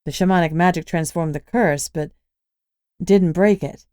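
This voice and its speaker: A weary female spellcaster who speaks frankly and firmly, as a woman reads her aloud. Her tone is fairly low. The recording is dry and close. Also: normal speed, darkish tone, clear, resonant tone, no room sound, close to an everyday voice.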